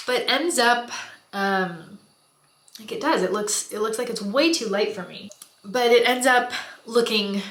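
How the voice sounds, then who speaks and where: A woman's voice: medium pitch, a bit bright, reverberant. A woman with a stuffy, congested nose, chatting casually and explaining as she does her makeup, with frequent fillers and apologetic asides. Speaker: beauty and mental health vlogger. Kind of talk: casual talking-head explainer while doing makeup. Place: home in Goma, Africa.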